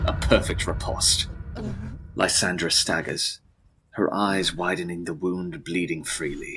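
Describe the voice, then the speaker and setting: A man with a deep, husky voice giving a dramatic scripted narration of a gothic story. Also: noisy background.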